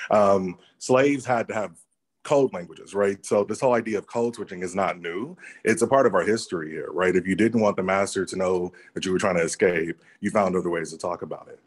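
Guy with a low voice on a video call, chatting thoughtfully, keeps saying right?. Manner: thoughtful, conversational, frequent "right?". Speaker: workshop participant. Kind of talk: casual discussion on a video call. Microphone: laptop or phone on a video call.

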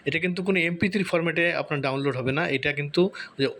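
A man's voice: fairly high pitch, fast talker, a bit bright. A man hosting a casual step-by-step tutorial, conversational and repetitive, often checking in with short tag questions. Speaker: tutorial host.

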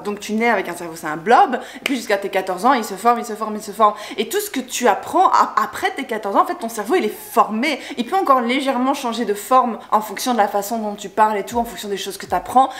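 Animated young woman telling a story. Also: on the high side. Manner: animated, gesturing a lot, digressive. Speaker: vlogger. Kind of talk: casual storytime monologue. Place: indoor room, sofa with colorful pillows. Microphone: camera in front, chest-up framing.

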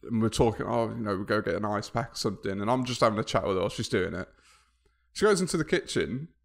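A man co-hosts a studio podcast and tells a teasing anecdote. His voice sits low but swings widely in pitch. He talks in an animated, storytelling way into a close studio mic. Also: quick pace. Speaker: podcast co-host. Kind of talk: casual chat. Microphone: studio mic with headphones.

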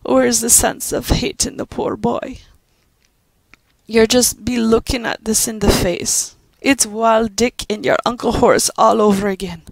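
A woman puts on an old Irish housekeeper with a lilting brogue, fussing over the children with tender scolding. The voice rides far higher than the reader's own, with a gravelly edge, and sits close and dry on the mic. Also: fairly even intonation, unhurried, no room sound. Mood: gently scolding. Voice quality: lilting dialect speech.

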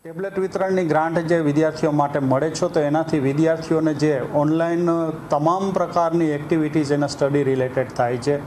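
A middle-aged man explains in an office interview. His voice is a bit higher than average, with slight ambient noise around it. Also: darkish tone. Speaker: university registrar. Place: office, indoors.

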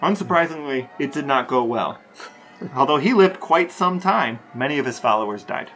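A man's voice: medium pitch, animated, normal speed, pushed and bright, faint music behind, poor audio. Man hosting a casual podcast interview. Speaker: podcast co-host.

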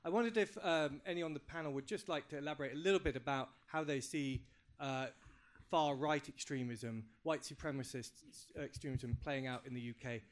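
A man's voice: medium pitch, fairly quick. A man in the audience giving a rambling preamble before asking his question on a handheld microphone. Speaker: audience member. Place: conference room.

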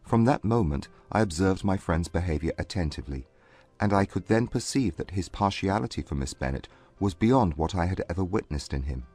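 An actor playing a proud, haughty young Regency gentleman in a dramatized audiobook, speaking in a formal, composed, measured way. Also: low-pitched, lively intonation, slightly rough. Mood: measured explaining. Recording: dry close recording with music behind. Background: music.

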